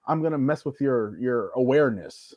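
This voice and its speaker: Male podcast guest, casual and lively in pitch, dry sound. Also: normal speed, no echo, dull audio. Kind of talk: casual chat.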